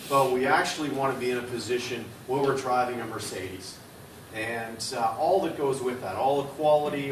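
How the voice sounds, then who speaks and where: Man talking in a roomy hall. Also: mid-range voice. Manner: conversational, loud-voiced, hesitant fillers. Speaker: university executive speaker. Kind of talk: symposium presentation. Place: lecture room or studio space. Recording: roomy hall from far off, noisy.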